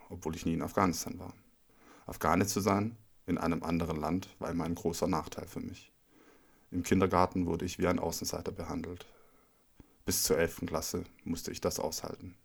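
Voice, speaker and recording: A man reads a first-person account at a measured, unhurried pace. His voice sits very low and has a gravelly edge, and he keeps his delivery flat and even throughout. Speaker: reader. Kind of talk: reading a personal text aloud. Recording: intro over zither music.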